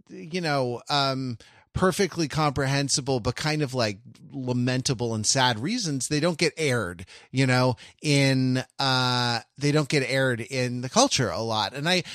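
A man co-hosting a podcast talks through his thoughts in relaxed chat, stopping and restarting as he searches for words. His voice sits at an ordinary pitch, recorded close and dry with no room around it. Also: no room sound. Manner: halting, full of restarts and fillers. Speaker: podcast co-host. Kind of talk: casual chat.

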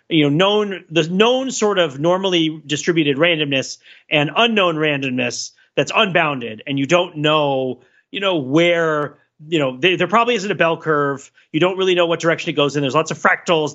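Male podcast host, higher-than-average bright voice, thinking aloud in rambling analytical fashion with frequent "right?" check-ins. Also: highs cut off. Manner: discursive, halting, checks in with "right?". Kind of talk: casual analytical discussion.

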